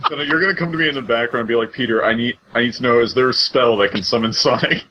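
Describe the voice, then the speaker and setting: A man's voice: medium pitch, rapid-fire, highs cut off, slight background noise. A man chatting casually on a podcast in a playful, teasing way. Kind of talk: casual chat.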